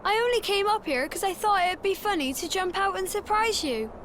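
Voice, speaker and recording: A woman's voice: very high voice, animated, brisk, fairly bright tone, slight background noise. A woman voicing a small, timid village child in a video game cutscene, sheepish and stammering as they speak. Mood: sheepish, apologetic.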